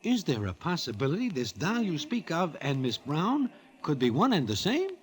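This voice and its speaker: An actor playing a streetwise gambler's sidekick and storyteller in an old-time radio comedy, with a New York accent and stilted, formal slang delivered deadpan. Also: male voice, fairly high pitch, normal speed.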